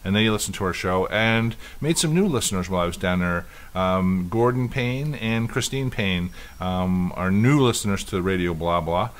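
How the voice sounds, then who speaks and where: A man's voice: low-pitched, moderate pace. A man in his fifties co-hosting a casual podcast chat, telling long rambling stories with many restarts. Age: 50s. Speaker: podcast co-host.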